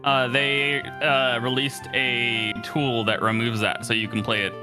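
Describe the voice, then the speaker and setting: A man co-hosting a game stream in casual, joking chat, often halting as he speaks. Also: medium pitch, little pitch movement. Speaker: stream co-host. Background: game music.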